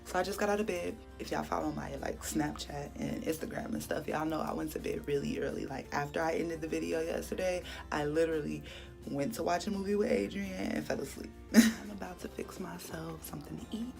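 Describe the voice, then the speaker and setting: A woman in her late twenties with a raspy voice, chatting candidly to her viewers in a casual morning vlog. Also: sits low, fairly even intonation, a little fast, darkish tone. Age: late 20s. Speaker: vlogger. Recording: music playing behind.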